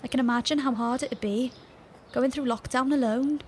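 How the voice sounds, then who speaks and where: Young British woman, played by an actress, rather high voice, breezy caring friend, radio play dialogue, background noise. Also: fairly even intonation, moderate pace, close to an everyday voice. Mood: caring, reassuring. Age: young adult. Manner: reassuring, chatty. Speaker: actor in a fiction podcast. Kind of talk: naturalistic audio drama dialogue.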